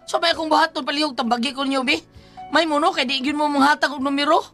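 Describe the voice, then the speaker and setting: A woman's voice: sits high, fairly even intonation, quick pace, a bit bright, faint music behind. A woman playing an exasperated, worried wife in a Cebuano radio drama, speaking plaintively with emotional exclamations. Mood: exasperated, pleading. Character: exasperated, worried wife of a lottery-crazed husband.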